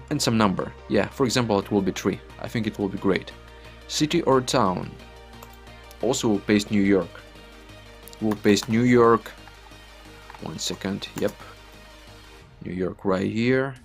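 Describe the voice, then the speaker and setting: A young man in his twenties with a Ukrainian accent talks in non-native English with a lowish voice. He is chatty and repetitive as he walks viewers through a screen recording. Faint music plays under him. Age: 20s. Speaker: online earning vlogger. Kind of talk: casual screen-recorded walkthrough.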